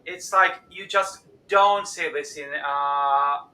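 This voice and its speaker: Bright-voiced non-native man, echoey room. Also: fairly high pitch. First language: not English. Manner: halting, many uh fillers. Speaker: host. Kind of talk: live stream discussion. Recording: echoey room with faint noise.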